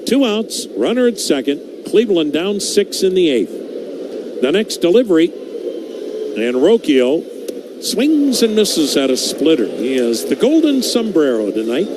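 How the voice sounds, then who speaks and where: Male baseball radio announcer, higher-pitched, live game commentary over clear background noise. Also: sing-song, unhurried.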